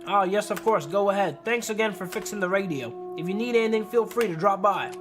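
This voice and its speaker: A gentle old village shopkeeper as a man reads him from a visual novel. He is hospitable and generous in manner. Music plays clearly behind him. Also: high voice, a little fast, lightly acted. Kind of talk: visual novel dialogue read aloud. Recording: music under the voice.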